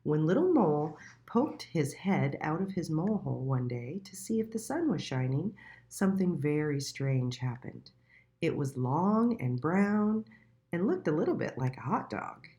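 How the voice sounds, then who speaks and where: A woman reading a children's storybook aloud in a deliberate, expressive storytelling manner. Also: low voice. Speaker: children's book reader.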